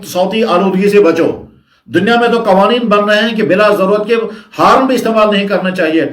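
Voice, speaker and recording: A man giving an instructional Islamic sermon in a didactic way, repeating phrases for emphasis. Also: sits high. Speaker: Islamic preacher. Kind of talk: sermon, instructional religious talk. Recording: echoey, reverberant room.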